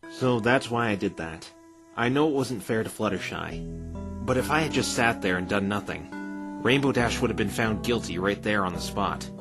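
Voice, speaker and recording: A man's voice: on the low side, lively intonation, moderate pace. A man playing an earnest, plain-spoken, wry male defense lawyer in conversational game-style dialogue. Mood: earnest, explaining. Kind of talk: fan dub of visual novel game dialogue. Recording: background music under the voice.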